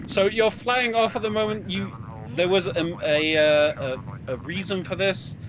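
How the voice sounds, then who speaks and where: A man's voice: sits high, a little slow, crowd noise, low-quality recording. A man giving casual, explanatory live commentary alongside a video game speedrun. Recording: game music playing behind voice. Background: video game music and sounds.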